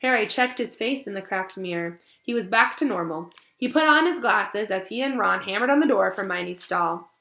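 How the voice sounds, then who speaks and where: A woman reading a storybook aloud as narrator, expressive, with casual asides to her listeners. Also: mid-range voice, moderate pace, vocal fry.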